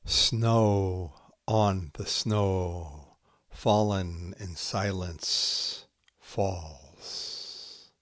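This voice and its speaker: A man reads spare haiku at an extremely slow, deliberate pace. His voice sits fairly low, and he sets out each short phrase with care. The sound is close and dry, with very little room around it. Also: fairly bright tone, dry recording. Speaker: podcast host reading poetry.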